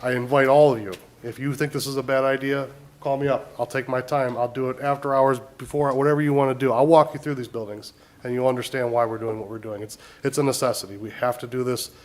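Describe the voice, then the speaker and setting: A slightly hoarse man speaks as mayor at a council meeting, his voice pitched in the middle range. He talks earnestly and repeats points for emphasis. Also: little pitch movement, quick pace. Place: council chambers.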